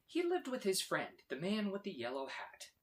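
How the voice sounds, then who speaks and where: A man reading a children's story aloud with expressive, dramatic emphasis. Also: high-pitched, moderate pace. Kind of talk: animated read-aloud narration for children.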